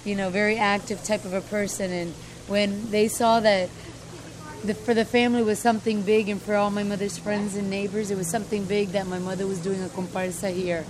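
A woman's voice: medium pitch, little pitch movement, fairly quick, crowd noise. A young American woman chatting casually and talkatively as an interviewee, repeating herself for emphasis. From United States. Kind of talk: casual chat. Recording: noisy location with vehicle sounds.

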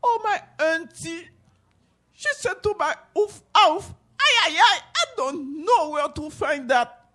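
A woman's voice: animated, unhurried, sharp tone, heavily acted. An older woman voicing a frightened little boy who cries and whimpers. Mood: crying. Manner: whimpering, high. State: crying.

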